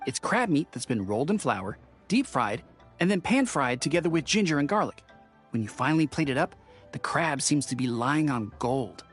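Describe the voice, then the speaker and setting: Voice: medium pitch, sing-song, moderate pace, soft background music, lightly acted. An actor playing a polite, affable innkeeper in an anime-style video game, courteous, chatty and helpful. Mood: friendly, helpful. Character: polite, affable innkeeper offering cooking advice.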